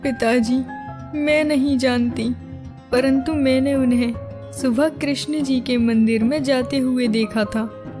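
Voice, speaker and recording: A woman's voice: high-pitched, fairly even intonation, slow. A woman voicing a guilt-ridden young princess in a cartoon story, with an earnest, dramatic manner. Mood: anguished, secretive. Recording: music behind the voice.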